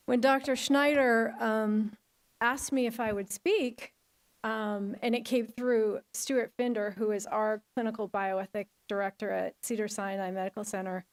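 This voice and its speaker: A middle-aged woman, a hospital risk manager, giving a chatty, enthusiastic conference talk in a large auditorium with a loud voice and many fillers. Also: a little high.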